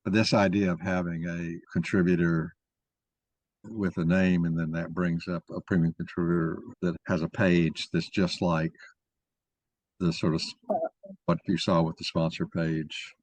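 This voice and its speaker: A man with a very deep voice leads an informal discussion. He rambles and halts as he thinks aloud. The sound is very dry, with no room around it. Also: little pitch movement, moderate pace, darkish tone, no echo. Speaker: moderator of an online mock trial.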